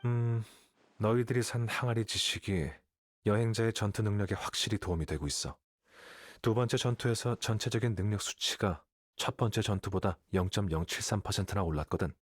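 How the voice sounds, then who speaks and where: An actor playing an aloof, calculating young male scholar in a Korean video game, with a detached, measured delivery. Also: on the low side, breathy edge, dry recording, clean studio sound. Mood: matter-of-fact. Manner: detached, matter-of-fact, measured.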